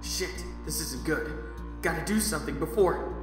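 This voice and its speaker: A man playing an awkward, polite and observant young man in an animated audio drama, hesitant and nervous. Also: on the high side, natural voice. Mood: uneasy. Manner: hesitant, deliberate, narrates his thoughts. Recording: loud music over the voice.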